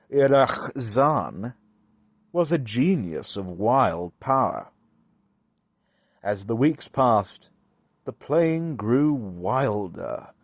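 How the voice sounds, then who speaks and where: A man reads classic horror fiction aloud at a slow pace. His phrasing is deliberate and dramatic, broken by measured pauses. His mid-pitched voice sits in a dry space with very little room sound. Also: dry recording. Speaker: amateur audiobook reader. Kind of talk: dramatic literary horror story narration.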